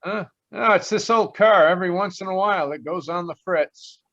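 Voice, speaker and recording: An offhand, evasive elevator operator voiced by a man in an old-time radio mystery. His voice sits high and bright, and it comes through dull and lo-fi. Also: moderate pace, dry recording. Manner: casual, evasive. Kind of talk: 1940s radio acting.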